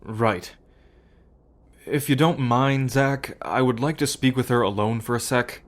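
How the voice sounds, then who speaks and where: A man's voice: mid-range voice, unhurried, natural voice. A man playing a young, apologetic brother estranged from the mob, pleading and hesitant, in an audio roleplay. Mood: earnest.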